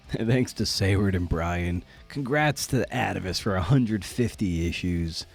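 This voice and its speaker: A man's voice: sits low, unhurried, vocal fry, faint music behind. A man hosting a podcast, talking informally in a candid, self-deprecating way. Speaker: podcast host.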